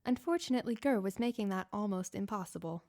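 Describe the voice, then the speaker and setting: A woman reading a fan-written story aloud in a steady, plain narrating voice. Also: medium pitch, fast talker. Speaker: fanfiction reader.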